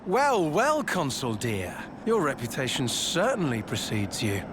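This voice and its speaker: A man's voice: medium pitch, huge pitch swings, unhurried, noisy background. A man voicing an easygoing, casually bantering military officer in a video game. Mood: wry. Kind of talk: scripted video game voice acting.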